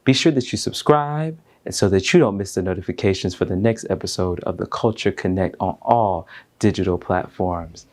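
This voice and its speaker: A man's voice: deep voice, normal speed, a little mellow, no echo. A man hosting an interview podcast, speaking to listeners and a guest. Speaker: podcast host. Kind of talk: scripted intro, then conversational interview.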